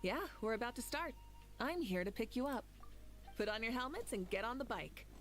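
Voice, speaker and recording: A woman's voice: fairly high pitch, animated, brisk, fairly bright tone, soft background music. A woman voicing a bold, fiery female leader who talks in a breezy, commanding way in game dialogue. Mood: confident.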